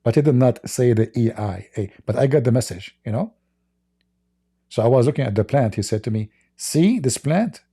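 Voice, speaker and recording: A man's voice: medium pitch, animated, moderate pace, dark tone, breathy edge. A man whose first language is not English, hosting a livestream and mocking video clips in broken English, laughing often. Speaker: livestream host.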